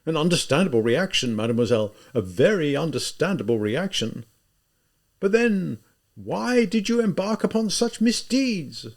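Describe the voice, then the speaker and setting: A pompous, condescending gentleman speaks in an imposing voice with lively, rising inflections, as a male narrator puts him on. He talks down to everyone. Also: on the high side, normal speed. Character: pompous middle-aged male official, condescending.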